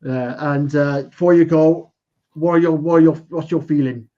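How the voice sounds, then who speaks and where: A man's voice: a little high, fairly even intonation, moderate pace. A man hosting a casual football chat, agreeable and prone to brief interjections, in a slightly dull recording. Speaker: podcast host, interviewer.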